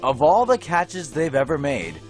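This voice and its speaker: A man's voice: fairly high pitch, wildly swooping pitch, unhurried, faint music behind. A man reading scripted narration for light news stories in a playful, storytelling way.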